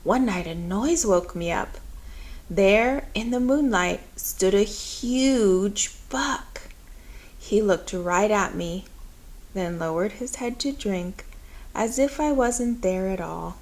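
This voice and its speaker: Woman reading a kids book out loud, nice and slow, expressive, medium pitch. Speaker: picture book reader. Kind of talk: children's story read aloud.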